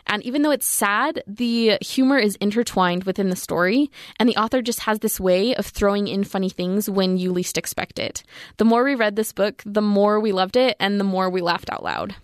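A woman's voice: medium pitch, normal speed, dry recording. Young woman, close and dry sound. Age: 20s. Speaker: student book reviewer. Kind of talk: prepared book review.

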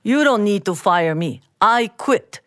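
A woman with a Japanese accent voicing a humble, devoted father in a folktale, speaking calmly, earnestly and politely. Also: mid-range voice, a little slow, no room sound, clean studio sound. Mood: calm, resolute.

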